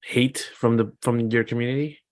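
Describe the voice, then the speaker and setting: A man's voice: mid-range voice, fairly even intonation, normal speed. A man hosting a podcast, asking short questions and adding brief interjections. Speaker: podcast host.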